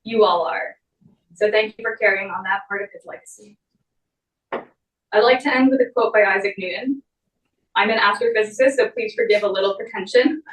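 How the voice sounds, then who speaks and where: A woman's voice: mid-range voice, fairly quick, pauses often. A woman giving a prepared tribute speech at a memorial service. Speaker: memorial speaker, astrophysicist. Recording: roomy echo, distant microphone.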